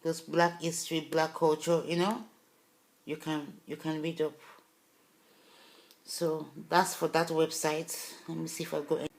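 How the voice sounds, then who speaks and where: A woman's voice: sits low, flat delivery, measured pace, gravelly, pauses often, reverberant, poor audio. A woman vlogger, English not her first language, talking to her viewers casually with emphatic, insistent points and loose rambling asides. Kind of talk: casual video talk to viewers.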